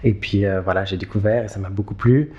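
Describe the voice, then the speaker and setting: A man, giving halting, conversational interview answers and repeating words as he thinks. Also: lowish voice, fairly even intonation, a little mellow, highs cut off. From Geneva, Switzerland. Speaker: interview guest, filmmaker.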